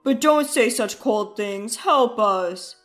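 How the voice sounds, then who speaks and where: A woman's voice: a little high, expressive, slow, faint music behind. A woman voicing a needy, wheedling ex-husband in a dramatized story, pleading and plaintive. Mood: desperate.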